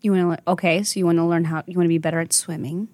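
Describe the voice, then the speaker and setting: A woman's voice: on the low side, flat delivery, rapid-fire, a little mellow, no echo. A woman in her thirties hosting a casual chat with her daughter, affectionate and motherly, laughing often. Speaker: podcast host and mother.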